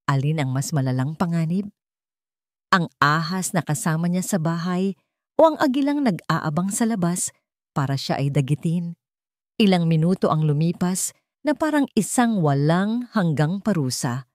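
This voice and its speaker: A woman narrating a scripted audio drama in a measured, suspenseful storytelling manner. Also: lowish voice, lively intonation, a little mellow, no echo, clean studio sound.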